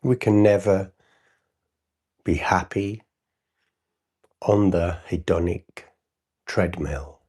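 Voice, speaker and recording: A man with a low, mellow voice guides a meditation in short, soothing phrases. His tone is husky and soft-edged, and he leaves long gaps as he gently instructs the listener. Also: fairly even intonation. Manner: spontaneous, unscripted, with long pauses between short phrases. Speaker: meditation teacher hosting a livestream.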